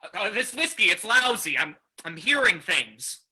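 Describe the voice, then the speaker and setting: A man's voice: high voice, big pitch swings, normal speed, pushed and bright, husky, full character voice. A man playing a shifty, hard-drinking saloon keeper in an old-time radio drama, blustering and stammering, on a noisy recording. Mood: rattled, frightened.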